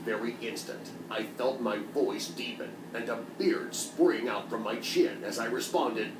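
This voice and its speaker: A man playing a kid who has a grown man's deep voice, narrating a comic storytime in a deadpan, mock-solemn way. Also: a little slow, roomy sound, noisy background, natural voice. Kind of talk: comedic storytime animation narration.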